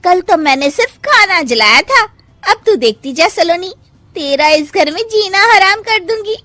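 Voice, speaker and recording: A spiteful young cartoon villainess, acted by a woman. Her voice is very high and swings widely as she gloats over her schemes. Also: animated, unhurried, sharp tone, dry recording, heavily acted. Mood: gloating. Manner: gloating, plotting. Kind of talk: cartoon story dialogue.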